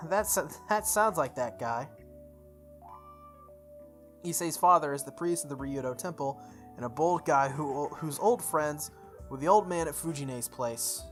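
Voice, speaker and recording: A man's voice: fairly high pitch, animated, measured pace, long pauses, soft background music. A man hosting a playthrough, reading a visual novel aloud expressively, with casual asides and the odd stumble. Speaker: let's play host.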